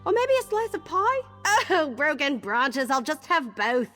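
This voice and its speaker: A high-voiced, eager young game adventurer chats away in bubbly, folksy fashion. Her pitch swings up and down freely, with music playing underneath. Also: big pitch swings, unhurried, bright, forceful tone, heavily acted. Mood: excited. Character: eager, chatty young female adventurer who loves cake. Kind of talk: video game character dialogue.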